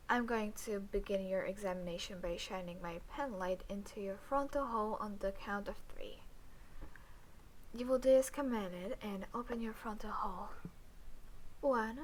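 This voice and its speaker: A woman playing a cool, clinical female alien doctor who teases her human captive in a roleplay audio drama, speaking in a deadpan, halting way. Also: medium pitch, a little hiss or hum, close to an everyday voice, low-quality recording.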